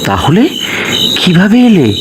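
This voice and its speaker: A young actor playing a dreamy, eager young prince who speaks in an earnest, wistful way. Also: male voice, high voice, huge pitch swings, takes it slowly, background noise, exaggerated voice. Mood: amazed. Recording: music playing behind.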